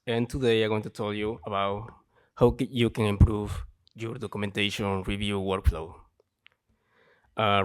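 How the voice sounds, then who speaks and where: A man from Ecuador with an Ecuadorian Spanish accent, a software developer giving a short conference talk. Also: low-pitched, clear, resonant tone. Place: conference stage.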